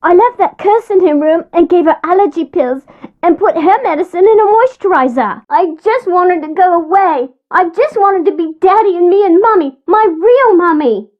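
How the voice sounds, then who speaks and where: A woman's voice: very high-pitched, animated, moderate pace, dry recording, lo-fi sound. A woman voicing a bratty, know-it-all young girl from an old English family in a video game. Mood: panicked, pleading. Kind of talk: video game character dialogue.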